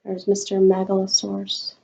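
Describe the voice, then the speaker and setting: A woman reading a picture book aloud to a young child, expressive and coaxing, in the manner of a teacher. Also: medium pitch, normal speed, creaky, lo-fi sound. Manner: expressive, coaxing talk aimed at small children.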